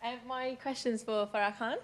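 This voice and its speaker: A woman's voice: on the high side, steady tone, moderate pace. A woman in a theatre audience politely asking a question at a live show.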